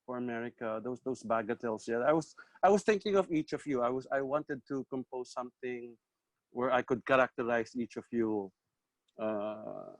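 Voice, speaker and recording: A man's voice: mid-range voice, moderate pace, no room sound, rough recording. A man hosting an online talk among composers, reflective, often repeating words as he recalls things. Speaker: composer and host. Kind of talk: casual online chat. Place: video call. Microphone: video call.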